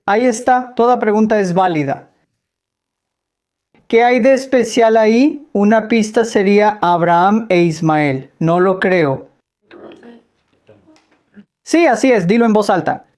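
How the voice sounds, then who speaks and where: A woman's voice: medium pitch, measured pace, pauses often, a little mellow. Woman explaining patiently, quizzing listeners. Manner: patient, explanatory, quizzes the audience with light jokes. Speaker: speaker answering audience questions on Islam. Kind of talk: Q&A lecture in Spanish voice-over.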